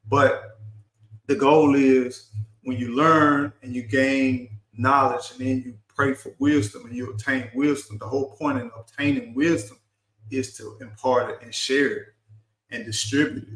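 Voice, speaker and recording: A man's voice: mid-range voice, fairly even intonation, moderate pace, a bit bright. Earnest middle-aged man, echoey room. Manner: earnest, urging, repeats and restarts words. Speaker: live stream host. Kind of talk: casual live stream talk.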